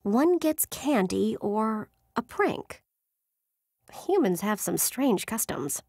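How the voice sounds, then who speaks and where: An aloof, otherworldly female being, speaking slowly and formally with a creaky voice. It is a detached game character, recorded dry. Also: medium pitch, very animated, darkish tone, dry recording, heavily acted. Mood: detached puzzlement. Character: aloof nonhuman female being, puzzled by humans. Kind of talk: scripted video game character voice lines.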